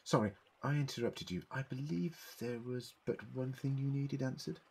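An actor playing an eccentric, clever time-travelling man in an audio drama, deliberate and formally worded as he explains. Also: medium pitch, creaky, a little mellow, breathy edge. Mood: measured, explaining.

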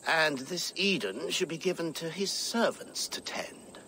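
An actor playing a smug, ambitious middle-aged abbot in a video game, jovial, unctuous and pompous. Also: male voice, a little high, animated, measured pace, sharp tone, slight background noise, heavily acted. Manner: unctuous, pompous, savouring words. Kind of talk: video game cutscene acting.

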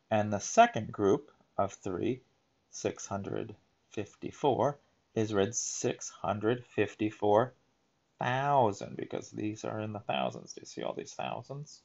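A man reading a children's picture book aloud in a deliberate, emphatic teaching manner, adding explanatory asides. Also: on the low side, creaky, darkish tone, muffled recording.

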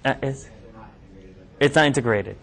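A man's voice: medium pitch, a little slow, creaky, fairly bright tone. Hesitant young male doctor in a classroom. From New York. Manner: conversational, hesitant, with many ums and restarts. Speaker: physician speaking to medical students. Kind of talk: informal talk with questions from the audience. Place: classroom lab, indoors.

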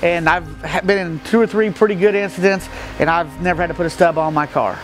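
A man's voice: sits high, fairly even intonation, fairly quick, soft background music. A middle-aged man from the Midwest, a stock car racer, explaining his car in a plain-spoken, matter-of-fact way with many fillers, recorded in an open metal shed by a camera a few feet away. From Midwestern United States. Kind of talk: casual walkaround explanation. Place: open metal shed with gravel floor, outdoors nearby.

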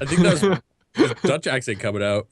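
A man joking around with friends during a game, quick-witted. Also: mid-range voice, animated, a little hiss or hum. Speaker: game player. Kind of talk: casual gaming chat.

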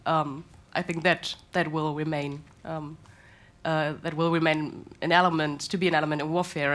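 A woman's voice: low-pitched, a bit bright. A young woman speaking on a conference panel, light in tone with many ums. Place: conference hall panel stage.

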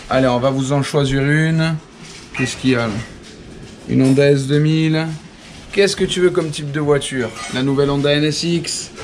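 A man in his thirties from France, speaking with a French accent, excitedly narrating a tour with a handheld action camera, laughing often. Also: fairly high pitch, a little hiss or hum. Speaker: vlogger touring a car workshop. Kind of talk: casual handheld vlog narration. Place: house, workshop and ranch, indoors and out. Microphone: action camera held at arm's length.

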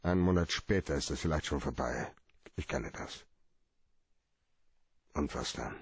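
Hard-boiled cynical private eye for radio drama: very low, gravelly, blunt, argumentative. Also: male voice, a little slow, dry recording, exaggerated voice. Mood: cold, calculating. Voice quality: low, rough-edged voice. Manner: blunt, argues point by point. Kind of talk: radio play acting.

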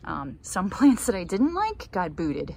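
Low-voiced chatty woman outdoors. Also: huge pitch swings, unhurried, gravelly, mellow. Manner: chatty, cheerful asides. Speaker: gardening vlogger. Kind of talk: casual garden tour narration. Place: outdoors in a suburban garden. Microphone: handheld phone. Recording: outdoor ambient noise.

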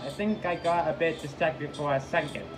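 A woman reading a game character's lines aloud in a casual, halting way. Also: sits low, fairly even intonation, rough recording. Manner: slow, halting delivery. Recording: home recording with music behind.

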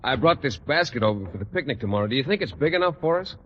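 A man's voice: medium pitch, animated, rapid-fire, lightly acted. An actor playing a bashful, naive male biology teacher with an American accent, earnest and hesitant, in 1940s radio comedy. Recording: muffled 1940s radio recording, light noise.

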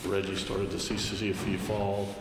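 A very deep, flat male voice asks the questions from across the room. The sound is echoey, with a long reverb and a clear hum of room noise behind him. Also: normal speed. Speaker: reporter.

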